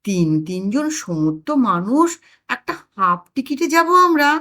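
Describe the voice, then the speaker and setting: A woman voicing an indignant, sharp-tongued wife who protests and questions in a comic audio story. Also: medium pitch, very animated, unhurried, heavily acted. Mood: protesting.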